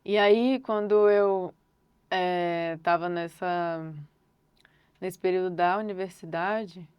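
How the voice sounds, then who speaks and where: A young woman with a Brazilian accent talks through her answers slowly, reaching for filler words as she thinks. Her voice sits low and sounds smooth and clean. The sound is dry and close, with no room around it. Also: fairly even intonation, no room sound, muffled recording. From Brasília, Brazil. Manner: thoughtful, unhurried, hedges often with filler words. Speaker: singer-songwriter guest. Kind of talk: reflective interview answers.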